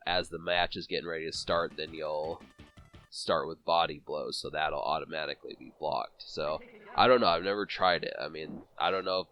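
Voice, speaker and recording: Low, stuffy-nosed male game commentator. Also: steady tone, moderate pace, bright, forceful tone, lo-fi sound, soft background music. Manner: rambling, many fillers and restarts. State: congested from a cold, sniffling. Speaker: let's play commentator. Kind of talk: casual gameplay commentary.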